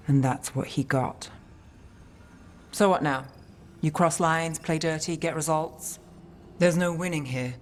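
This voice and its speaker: An actress playing a jaded, hard-bitten investigator in a fantasy video game, speaking in a terse, weary, guarded way. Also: female voice, low voice, a little hiss or hum. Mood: weary, cynical. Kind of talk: dramatic video game dialogue.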